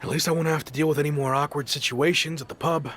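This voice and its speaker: A man's voice: fairly high pitch, fairly even intonation, fast, a bit bright, slight background noise, natural voice. Grudging, sardonic male wrestler played by an actor. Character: reluctant, headstrong male pro wrestler, grudging and sarcastic. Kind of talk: video game documentary cutscene acting.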